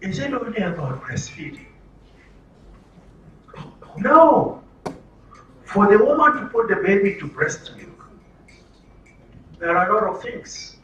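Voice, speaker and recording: Man, Nigerian accent, public speaker, emphatic, question-and-answer delivery, sharp bright tone, distant echoey mic. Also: high voice, very animated, slow pace, long pauses, lo-fi sound. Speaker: presenter at a health orientation for media. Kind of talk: interactive public talk. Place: room with an audience. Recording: roomy, distant mic, faint noise.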